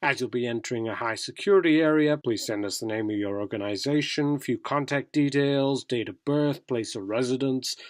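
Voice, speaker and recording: An actor playing an earnest, credulous young newspaper intern in a radio drama, talking in a casual, matter-of-fact, conspiratorial way. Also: male voice, medium pitch, no echo, studio quality, natural voice. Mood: insistent, matter-of-fact. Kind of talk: radio drama acting, conversational interview answers.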